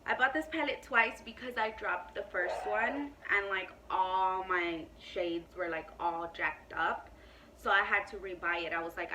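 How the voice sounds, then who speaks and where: A woman's voice: on the low side, sharp tone, recorded from a distance, slight background noise, low-quality recording. A woman in her twenties chatting casually to camera in a beauty Q&A, emphatic and full of "like" and "you know.". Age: 20s. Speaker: beauty vlogger.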